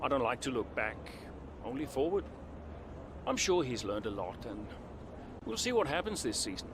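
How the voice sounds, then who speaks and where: An actor playing a proud, guarded veteran racing driver in a video game, terse and defensive in manner. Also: male voice, mid-range voice, big pitch swings, normal speed, noisy background. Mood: guarded, measured. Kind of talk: dramatic video game cutscene acting.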